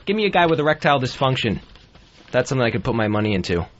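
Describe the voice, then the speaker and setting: A man's voice: mid-range voice, very animated, rapid-fire, highs cut off, a little hiss or hum. A man co-hosting a radio show in casual comedy banter with sardonic, crude joking. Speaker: radio co-host.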